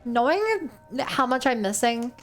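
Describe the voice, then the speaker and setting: A woman's voice: a little high, sing-song, a little slow, faint music behind. A woman with a New Zealand accent streaming a game, chatting casually, thinking aloud and answering her chat. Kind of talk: casual gameplay commentary.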